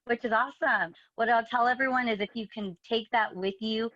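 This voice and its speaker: A woman with a fairly high voice coaches viewers on movement in an encouraging, practical way over a video call. Her sound is dry and a little dull, with no room around her. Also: fairly even intonation, fairly quick, a bit bright, dry recording. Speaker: dance and fitness instructor. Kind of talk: giving movement advice.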